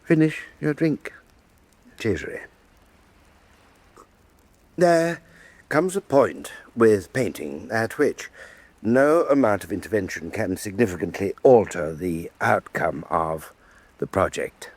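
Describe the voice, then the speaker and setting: A deep-voiced, evasive statesman. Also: big pitch swings, no room sound, heavily acted. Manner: measured, smooth and stalling. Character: powerful, evasive man of state showing a painting. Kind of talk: radio drama acting.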